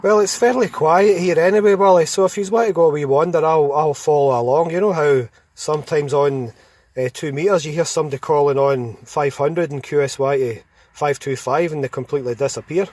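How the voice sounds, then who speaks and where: A man's voice: a little high, a little fast, darkish tone. A Scottish man with a Glasgow accent chatting casually and plainly on CB radio, recorded on a phone inside a parked car. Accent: Scottish, Glasgow area. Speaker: amateur CB radio operator. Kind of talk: casual chat. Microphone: handheld phone.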